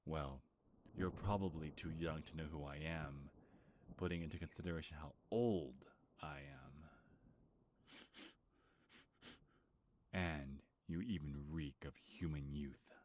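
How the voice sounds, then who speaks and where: Male actor as bitter old beast king, rock-bottom pitch, unhurried delivery, brooding, menacing, ASMR drama monologue. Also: darkish tone, a little hiss or hum. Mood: brooding, proud. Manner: brooding, menacing, slow with heavy sighs. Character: ancient, bitter, grieving beast king, half man half wolf.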